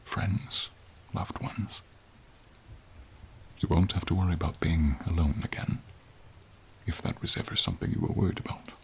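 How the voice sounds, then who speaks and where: A man voicing a gentle, encouraging silver dragon in a fantasy ASMR roleplay, with a husky, near-whispered voice and slow, tender, courtly phrasing, over rain. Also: deep voice, slight background noise. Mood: warm, reassuring. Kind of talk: fantasy ASMR roleplay, soft intimate acting. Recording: steady rain behind the voice.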